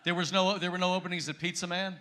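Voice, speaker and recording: A man's voice: high voice, fairly even intonation, fast talker. A man hosting a live Q&A, upbeat and joking as he introduces guests. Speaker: host and moderator. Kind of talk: moderating a Q&A. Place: cruise ship event room before an audience. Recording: loud crowd and noise in the room.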